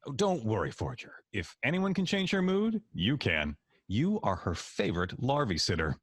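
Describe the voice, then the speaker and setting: A man's voice: mid-range voice, big pitch swings, normal speed, slightly rough, no room sound, clean studio sound. A man playing an easygoing, no-nonsense security worker in an audio drama, casual and wry. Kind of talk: conversational audio drama acting.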